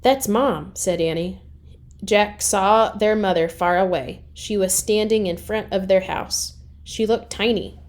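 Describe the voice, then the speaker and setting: Female read-aloud storyteller for children's chapter books: mid-pitched, expressive, with dramatic pauses. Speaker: reader of a children's chapter book.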